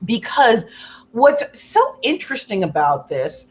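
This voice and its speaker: Loud, chatty woman with a carrying voice. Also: big pitch swings, unhurried, dull audio. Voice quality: loud voice that carries. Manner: chatty, rambling, acts out quotes with high exaggerated pitch jumps. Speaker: self-help speaker, Reiki practitioner. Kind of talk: casual motivational talk to viewers.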